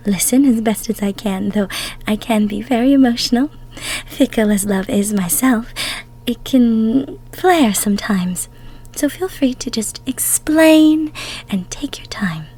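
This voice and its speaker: A woman acts out a tender goddess of love in an intimate audio roleplay. Her voice sits fairly high and breathy, often close to a whisper. She speaks slowly, cooing and coddling the listener with doting, emotional care. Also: warm tone. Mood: gentle, concerned. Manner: soothing, cooing, coddling with pet names.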